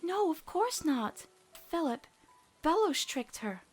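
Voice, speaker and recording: Earnest, self-doubting teenage girl, played by a woman, high-pitched, halting, dramatic cartoon dub. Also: very animated, soft background music, heavily acted. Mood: alarmed, defensive. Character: guilt-ridden, earnest, stubborn teenage human girl. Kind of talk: dramatic comic dub acting.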